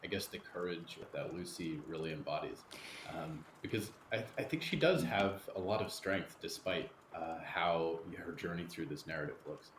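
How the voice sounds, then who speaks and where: A man hosting a conversational interview, asking questions in halting, searching phrases. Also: deep voice, animated. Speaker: podcast host, interviewer. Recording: roomy sound with faint noise.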